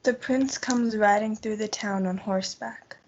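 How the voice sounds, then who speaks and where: A woman's voice: medium pitch, fairly even intonation, measured pace, a little mellow, slight background noise. A woman reading scripted narration for a student play. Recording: dull, muffled lo-fi audio.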